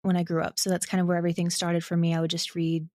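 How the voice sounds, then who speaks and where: A woman's voice: fairly low pitch, monotone, very fast, warm tone, no room sound. An American woman from Ohio giving a candid, reflective and casual interview as a creator. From Ohio, United States. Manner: candid, self-reflective, laughs while talking. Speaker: interview guest, audio drama creator.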